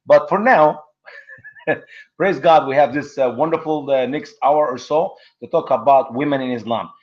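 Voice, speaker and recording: Man, animated and lo-fi. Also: mid-range voice, normal speed. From Egypt. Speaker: guest. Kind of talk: conversational storytelling.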